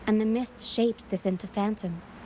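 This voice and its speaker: An actress playing a composed, solemn young woman guide in a fantasy video game, speaking in a measured, explanatory way. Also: mid-range voice, fairly even intonation, lightly acted, low-quality recording. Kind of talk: scripted video game voice acting. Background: game music.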